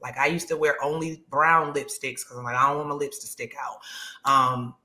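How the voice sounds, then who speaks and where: Deep-voiced woman co-host, frank and reflective, bright forceful edge. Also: steady tone, brisk. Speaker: podcast co-host. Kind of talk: casual chat.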